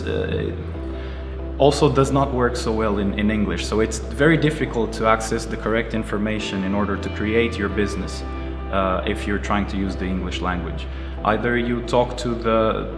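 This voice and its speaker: Portuguese-born man, 30s, earnest interviewee; roomy echo with clear music bed. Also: on the low side. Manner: measured, earnest, with frequent uh pauses. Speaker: karate teacher and entrepreneur. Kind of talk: interview answers. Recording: roomy echoey space with music behind. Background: music playing under the voice.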